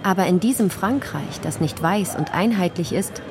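A woman's voice: on the low side, moderate pace, noisy background. A woman reading scripted narration for a radio feature as its reporter.

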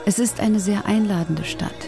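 A woman's voice: medium pitch, normal speed, gravelly, music in the background. A woman reading a translated interview as a voice-over.

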